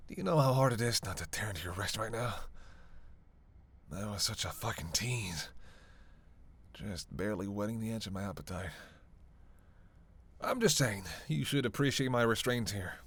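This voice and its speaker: An actor playing a reluctant, sardonic vampire in an audio roleplay, sarcastic and grumbling, swearing freely. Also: male voice, on the low side, animated, unhurried, long pauses, a bit bright, breathy edge, exaggerated voice. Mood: hungry, teasing. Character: sardonic, reluctant male vampire, hungry, cursing, teasing. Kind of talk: solo audio roleplay addressed to the listener.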